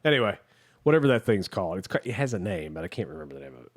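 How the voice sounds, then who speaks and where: A man co-hosting a podcast in casual chat, rambling and halting as he searches for words. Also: low voice, very animated. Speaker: podcast co-host.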